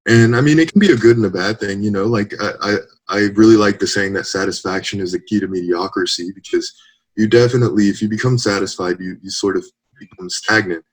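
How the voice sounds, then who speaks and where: Candid young man with a lowish voice. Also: quick pace, husky, poor audio. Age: 20s. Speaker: interview guest, bodybuilder. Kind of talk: casual interview chat.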